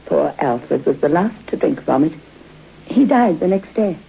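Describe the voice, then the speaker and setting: An actress playing a genteel, knowing middle-aged widow in a vintage radio suspense play. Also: female voice, low-pitched, expressive, a little slow, vocal fry, a little hiss or hum, full character voice. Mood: coyly hospitable. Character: genteel, eerie middle-aged widow hostess, coyly hospitable. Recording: muffled tinny old radio broadcast.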